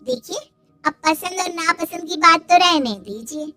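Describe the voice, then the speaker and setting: A woman's voice: extremely high pitch, unhurried, sharp tone, faint music behind, exaggerated voice. A woman playing a taunting young sister-in-law in a cartoon, mock-sweet and needling. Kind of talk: Hindi cartoon dialogue.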